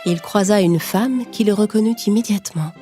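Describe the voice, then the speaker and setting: A woman's voice: mid-range voice, fairly quick, mellow. A woman storyteller reading a scripted children's tale in narration style. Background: music.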